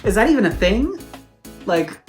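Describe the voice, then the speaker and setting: A man's voice: high voice, big pitch swings, normal speed, faint music behind. A man whose first language is Spanish, chatting curiously and mocking himself during a shared meal. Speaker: guest friend. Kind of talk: casual mukbang chat. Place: indoors at a meal table.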